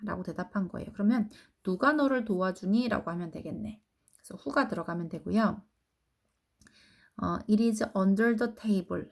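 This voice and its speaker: A woman teaching English grammar to children, explaining each answer patiently and reading English sentences slowly before translating them. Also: mid-range voice, creaky. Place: academy lecture recording.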